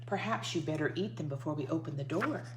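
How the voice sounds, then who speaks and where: A woman's voice: deep voice, lively intonation, fast. A woman voicing a welcoming mother in a children's storybook, with simple inviting lines, on a noisy recording. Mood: concerned.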